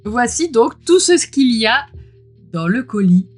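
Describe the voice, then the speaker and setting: A woman's voice: a little high, big pitch swings, a little slow. A woman who is a little under the weather, chatting informally and enthusiastically as she hosts an unboxing. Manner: gushing, informal, exclaims often. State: mildly ill, clearing throat. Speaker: host of a tarot unboxing channel. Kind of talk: casual unboxing commentary. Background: music.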